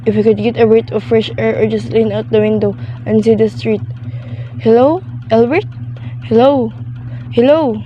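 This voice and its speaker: A woman's voice: a little high, fairly even intonation, moderate pace, pauses often, dull audio, slight background noise, close to an everyday voice. A woman playing a nervous, demanding invalid wife in a melodramatic suspense radio play. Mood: irritated. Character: imperious, nervous bedridden invalid wife, frantic and self-pitying. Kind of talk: suspense radio drama acting.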